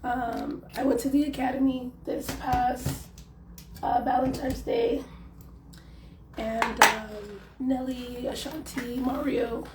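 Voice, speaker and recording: A young woman with a hoarse, worn-out voice, chatting casually through a tarot reading and leaning on lots of fillers. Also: fairly high pitch, fairly even intonation, slow. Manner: chatty, loose, filler-heavy. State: hoarse, losing her voice. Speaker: tarot reader. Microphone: phone. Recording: echoey room, faint background noise.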